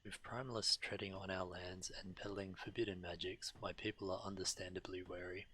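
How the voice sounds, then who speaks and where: A man's voice: low-pitched, level tone, a little fast, sharp tone, slight background noise. A man reading game dialogue aloud on a stream, now and then stumbling over words.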